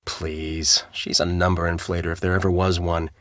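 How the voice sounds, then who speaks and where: An actor playing a gruff, cynical, bossy storm-chasing team leader, sarcastic and impatient, in a video game. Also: male voice, deep voice, flat delivery, normal speed, fairly bright tone, no echo, clean studio sound. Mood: dismissive.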